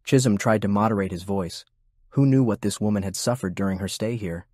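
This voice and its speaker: A man's voice: fairly low pitch, fairly quick, a little mellow, no echo, clean studio sound. A man reading scripted fiction as an audiobook narrator.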